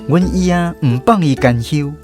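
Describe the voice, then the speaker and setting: Nostalgic male storyteller over music. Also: fairly high pitch. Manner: gentle, nostalgic, unhurried. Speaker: narrator. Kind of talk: scripted storytelling narration, reminiscing. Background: clear background music.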